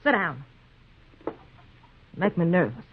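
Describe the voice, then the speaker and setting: A woman's voice: fairly low pitch, sing-song, a little slow, background noise. Tense young frontier woman, tinny radio. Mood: tense, commanding. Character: hard, anxious young frontier woman, eldest-sister type. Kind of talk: 1950s radio western acting. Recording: tinny, narrow 1950s radio recording.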